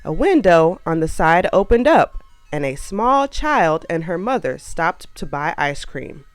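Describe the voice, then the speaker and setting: A woman reads a children's story with expressive, animated delivery. Her pace is slow and her pitch moves freely, recorded close and dry over faint music. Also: no echo. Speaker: storybook narrator. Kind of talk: scripted children's read-aloud narration.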